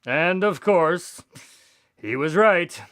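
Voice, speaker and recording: A man plays a loyal, genial storyteller in a radio play. His voice is on the high side and crisp-edged, dry, with genteel, assured, old-fashioned phrasing. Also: lively intonation, drawn-out words, a bit bright, no echo, exaggerated voice. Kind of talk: amateur radio drama acting.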